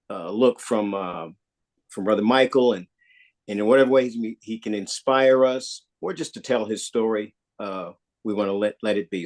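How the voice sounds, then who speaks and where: A man hosting a community live show, relaxed and rambling as he interviews a guest. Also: medium pitch. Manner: relaxed, rambling, with many fillers.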